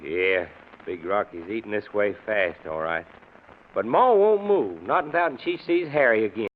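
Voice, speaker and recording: Actor as a low-voiced, resigned backwoods man. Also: lively intonation, moderate pace, lightly acted. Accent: rural American. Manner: plainspoken, folksy, gloomy. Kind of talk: old-time radio drama acting. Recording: muffled old radio recording with hiss.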